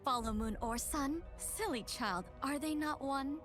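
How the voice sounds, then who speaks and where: A woman's voice: sits high, unhurried, a bit bright, soft background music. A woman voicing a whimsical moon dreamer in a fantasy game, speaking in riddling, singsong rhymes. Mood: dreamy, teasing.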